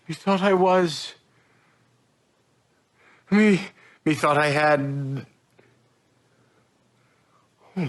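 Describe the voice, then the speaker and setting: A man acting a bewildered, vain simple craftsman in a Shakespearean stage monologue, halting in broken phrases of wonder. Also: on the high side, lightly acted. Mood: dazed wonder.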